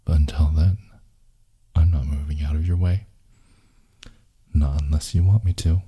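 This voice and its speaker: A man playing a brooding, possessive, lovelorn boyfriend in an intimate ASMR roleplay, in a hushed, husky, gravelly voice that often slips into whispers. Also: rumbling low, fairly even intonation, full character voice. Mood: wounded, tender. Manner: slow, hushed, intimate murmuring.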